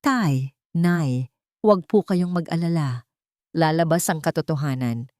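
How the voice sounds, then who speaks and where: A woman's voice: low voice, unhurried, darkish tone, no room sound, studio quality. A woman voicing a wrongly accused young wife, shocked, hurt and defiant, in a dramatized audio story.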